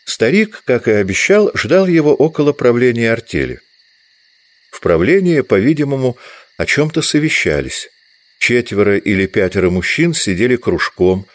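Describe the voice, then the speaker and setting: A man narrating a literary audio drama as a measured storyteller, reading from a script. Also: medium pitch, sing-song, no echo.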